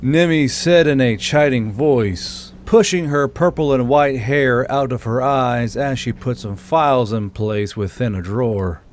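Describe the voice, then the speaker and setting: A man's voice: medium pitch, unhurried, few pauses, a little hiss or hum. A man reading scripted fiction narration as the narrator of an audiobook. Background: soft background music.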